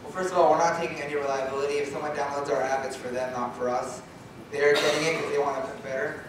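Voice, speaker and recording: A man's voice: on the high side, fairly even intonation, fast talker, crowd noise. A young man presenting an app and answering audience questions, confident and blunt. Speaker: student presenter. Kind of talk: answering questions after a pitch. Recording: echoey room, far from mic, noisy.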